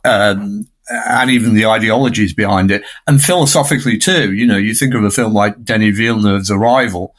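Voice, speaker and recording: A man's voice: on the low side, a little fast, a bit bright. A man giving conversational film analysis in a discursive, emphatic way, often checking for agreement.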